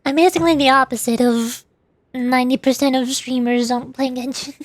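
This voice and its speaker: A woman's voice: sits high, fairly even intonation, a little fast, no room sound. A woman VTuber giving casual commentary on a game stream into a close microphone.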